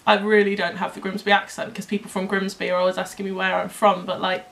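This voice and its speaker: A woman's voice: medium pitch, little pitch movement, fast talker, vocal fry, a bit bright, a little hiss or hum. A young English woman with a mixed English accent, chatting casually and giggling as a vlogger. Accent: mixed English, picks up others' accents. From England. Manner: giggly, chatty, filler-heavy. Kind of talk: casual couple chat.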